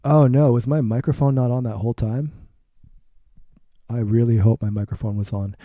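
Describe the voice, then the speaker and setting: A man's voice: mid-range voice, steady tone, moderate pace, no room sound, clean studio sound. A man hosting his own stream, rambling casually to himself while he checks his mic between ad reads. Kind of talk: casual self-talk. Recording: loud music behind the voice.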